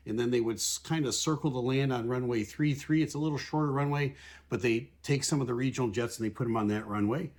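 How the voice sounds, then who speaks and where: A man giving explanatory commentary as an aviation analyst, talking in a measured, plain-spoken way and putting radio calls into everyday words. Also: medium pitch. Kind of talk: explanatory commentary over air traffic recordings.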